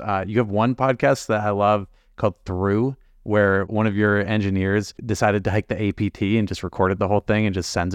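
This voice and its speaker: A man's voice: on the low side, clear, resonant tone, dry recording, clean studio sound. A man hosting a podcast interview, asking questions conversationally with many "likes". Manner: conversational, uses "like" often. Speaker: podcast host.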